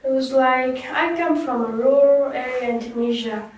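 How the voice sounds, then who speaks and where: A woman playing a young guest in a staged talk show, recounting her story slowly and haltingly with restarts, recorded far off in an echoey room. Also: sits high, slight background noise, lightly acted. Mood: earnest. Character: young woman survivor, remorseful and soft-spoken. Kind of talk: scripted role-play interview.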